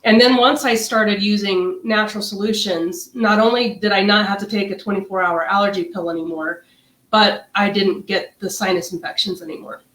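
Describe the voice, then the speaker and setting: A woman's voice: medium pitch, steady tone, moderate pace, fairly bright tone. Woman, middle-aged, nasal and stuffed up, chatty, rambling, roomy echo. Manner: chatty, rambling with many fillers and restarts. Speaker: co-host of a wellness livestream. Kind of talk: casual chat. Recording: echoey room.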